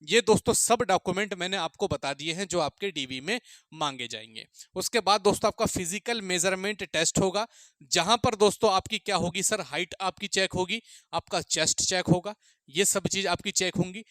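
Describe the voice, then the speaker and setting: A man's voice: high voice, moderate pace, a bit bright, no room sound. A man with a North Indian accent giving an explanatory online lecture as a coaching instructor, repeating points and often checking that listeners follow. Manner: repetitive, with frequent check-in phrases for listeners.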